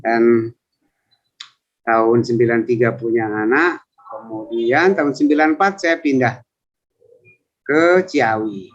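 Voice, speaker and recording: A man's voice: medium pitch, slow pace, long pauses. A man in his fifties, a civil service trainer, chatting and rambling through his self-introduction in an online coaching session. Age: 50s. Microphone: video call. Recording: lo-fi video call audio.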